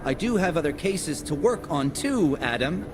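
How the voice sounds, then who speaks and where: An actor playing a chatty, cheeky, nervy forensic investigator in a video game, wry and rambling with hesitations. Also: male voice, fairly high pitch, sing-song, moderate pace, background noise. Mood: earnest, flustered. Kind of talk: video game cutscene acting.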